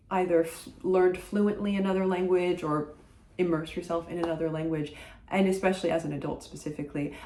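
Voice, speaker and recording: A woman whose first language is French talks casually to camera about a book she has read, thoughtful and often pausing on fillers. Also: fairly low pitch, darkish tone. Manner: thoughtful, hesitant, many uh fillers. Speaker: book vlogger. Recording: roomy echo.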